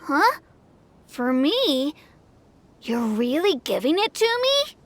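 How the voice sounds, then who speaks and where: A woman's voice: high-pitched, very animated, takes it slowly, a little hiss or hum, heavily acted. A woman voicing an earnest little boy who is proud of his fighting beetle, with game music behind. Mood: touched. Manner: eager, childlike.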